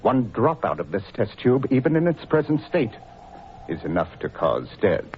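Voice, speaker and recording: A man's voice: medium pitch, big pitch swings, moderate pace, dull audio, slight background noise, close to an everyday voice. An actor playing a mad, vengeful male scientist villain in an old radio play, with a theatrical, gloating delivery and sinister chuckles. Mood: menacing. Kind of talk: 1940s radio acting.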